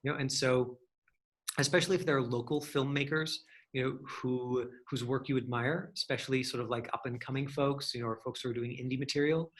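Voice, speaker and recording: A man's voice: medium pitch, fairly even intonation, a little fast. A man working as an acting coach, teaching and answering questions in a rambling, encouraging, conversational way. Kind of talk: casual online acting lesson with question and answer.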